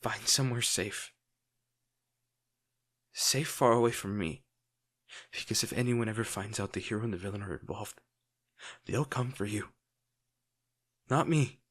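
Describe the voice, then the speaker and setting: A man playing a lovesick, protective villain in an intimate, brooding audio roleplay, speaking earnestly with dramatic pauses. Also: fairly low pitch, fairly bright tone, slightly rough. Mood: protective, pained. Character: lovesick male villain, brooding, protective, torn over wounded hero.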